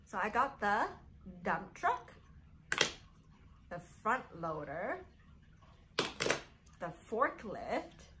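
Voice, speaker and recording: A woman's voice: medium pitch, big pitch swings, very slow, pauses often, heavy background noise. A woman hosting a guessing game for young children, talking in a sing-song, encouraging way as a storytime teacher.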